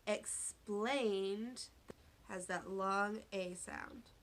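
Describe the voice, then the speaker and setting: A woman teacher giving a read-aloud phonics lesson to young children, speaking deliberately, spelling out words and asking questions. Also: mid-range voice, steady tone, a little hiss or hum. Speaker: elementary school teacher.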